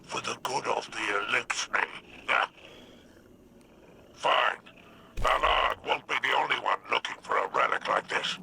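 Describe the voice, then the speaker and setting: A man playing a sly, greedy alien crime boss in a video game, with a gravelly voice and a wry, self-important drawl. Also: mid-range voice, measured pace, long pauses, pushed and bright, a little hiss or hum, full character voice. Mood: wry, grudging. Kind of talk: video game character acting.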